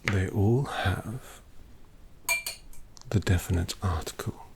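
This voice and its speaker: A man voicing a refined, courteous vampire painter in a whispered ASMR roleplay, polite and quietly menacing. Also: low-pitched, slow pace, background noise, heavily acted. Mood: hushed. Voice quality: soft whispery delivery with gravelly creak.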